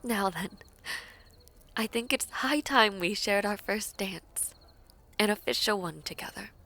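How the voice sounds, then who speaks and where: Slow, tender princess in love, acted by a woman. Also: medium pitch, bright, forceful tone. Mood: moved, loving. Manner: slow, formal, coy, often dropping to breathy whispers. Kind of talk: intimate romantic audio roleplay acting.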